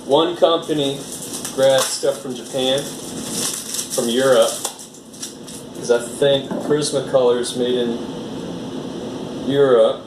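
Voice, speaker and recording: American man, relaxed rambly vlogger, off-mic in a reverberant room, steady AC hum behind. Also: mid-range voice, slow pace, pauses often, far from the mic. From United States. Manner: rambling, filler-heavy, easygoing. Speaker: art vlogger. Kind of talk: casual unboxing chat.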